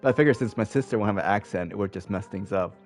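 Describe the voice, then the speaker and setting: A man's voice: on the low side, rapid-fire, soft background music. Laid-back man recapping a game. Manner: laid-back, rambling with fillers. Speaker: let's play host. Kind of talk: casual game recap commentary.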